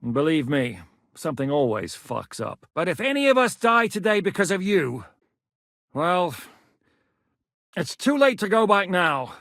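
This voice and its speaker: A battle-hardened knight commander from a game, blunt and commanding, swearing freely. His voice sits fairly high and rises and falls in wide, lively swings. The recording is close and completely dry. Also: a little slow, long pauses, no echo, heavily acted. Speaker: game character voice lines. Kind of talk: game voice acting.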